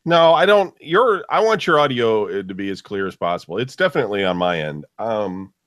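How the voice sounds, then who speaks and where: A man with a bassy voice co-hosting a casual radio show, thinking aloud in a hesitant way during a sound check. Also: sing-song, brisk, a bit bright, no echo, clean studio sound. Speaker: radio show co-host and audio engineer.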